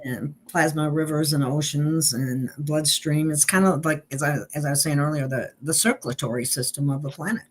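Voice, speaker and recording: A woman's voice: deep voice, brisk, hardly any pauses, slight background noise. Earnest woman hosting spiritual talk. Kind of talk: spiritual talk show conversation.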